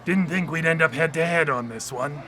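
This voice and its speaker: Scheming tempter spirit, radio play. Smug, theatrical, piercingly bright, pitched a bit high. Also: male voice, normal speed, soft background music. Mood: wry, smug. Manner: taunting, theatrical. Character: smug male tempter spirit named Malice, scheming corrupter of souls. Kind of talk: radio drama acting. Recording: live radio drama broadcast.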